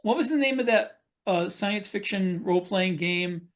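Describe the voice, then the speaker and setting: A man speaks as a panelist in a casual discussion. His delivery is halting, with pauses while he hunts for the next word. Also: high voice, a loud crowd. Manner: halting, searching for words. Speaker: podcast panelist.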